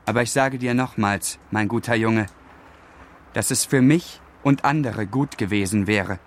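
A man playing a charming but arrogant, moody young gentleman in a literary radio drama, with a nonchalant, theatrical manner. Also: on the low side, a little slow, natural voice. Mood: brooding.

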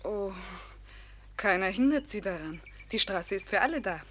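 An actress playing a pert young gentlewoman who poses as a shy peasant girl in a German radio play. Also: mid-range voice, animated, moderate pace, a little hiss or hum, close to an everyday voice. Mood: pert, teasing. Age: young adult. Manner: coy, teasing, hesitant when fibbing.

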